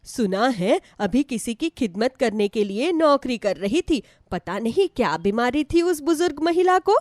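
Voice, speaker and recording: Casting: female performer as nosy disapproving neighbour woman for a moral tale, lively, high-pitched. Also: a little slow, no echo, clean studio sound. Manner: disapproving, gossipy. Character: gossiping, suspicious neighbourhood women.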